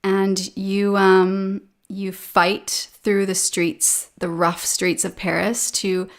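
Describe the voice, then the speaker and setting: A woman with a Canadian accent, a podcast guest talking in an easy, chatty way with plenty of "ums." Her voice sits at an ordinary middle pitch for a woman, and she sounds like she is on a video call. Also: takes it slowly. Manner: relaxed, chatty, with frequent fillers. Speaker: podcast guest, English teacher. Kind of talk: casual interview chat. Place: home room with a painting behind her.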